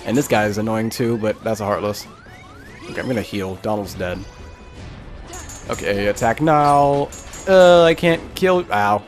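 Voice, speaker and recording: A man's voice: on the low side, normal speed, pauses often. Rambling male gamer over faint music. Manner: rambling, thinking aloud. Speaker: gaming video host. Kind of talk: casual Let's Play commentary. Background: faint game music.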